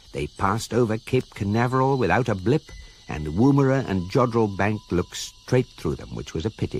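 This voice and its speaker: Low, wry narrator. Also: male voice, slight background noise. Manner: deadpan, measured. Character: wry, authoritative narrating electronic guidebook. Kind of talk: scripted radio comedy narration.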